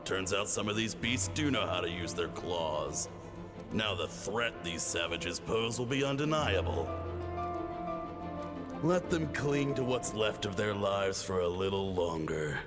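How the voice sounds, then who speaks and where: A man's voice: sits low, expressive, a little slow. An actor playing a cruel male commander who orders a massacre, speaking in a cold, menacing way. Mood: gloating. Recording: game audio with reverb and music.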